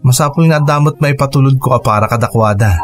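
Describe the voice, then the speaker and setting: A man playing a kind, devoted suitor and stepfather figure in a radio drama, gentle and sincere. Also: mid-range voice, quick pace, faint music behind, close to an everyday voice.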